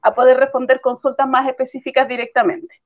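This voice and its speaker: A woman with a high voice moderates an online webinar, steering the session and adding "¿cierto?" as she speaks. Her audio sounds dull and lo-fi, like an online call. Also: flat delivery, brisk. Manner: guiding, uses "¿cierto?" tags. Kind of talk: hosting a webinar.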